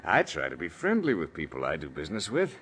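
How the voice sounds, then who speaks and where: An actor playing a smooth, menacing gangster in a calm, threatening 1940s radio style. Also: male voice, low voice, quick pace, heavily acted.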